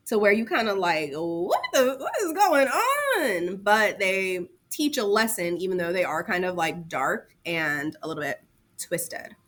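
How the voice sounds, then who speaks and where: A woman's voice: medium pitch, fairly bright tone. Casual, slangy woman teaching a class. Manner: informal and slangy, conversational asides. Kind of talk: casual lesson to students.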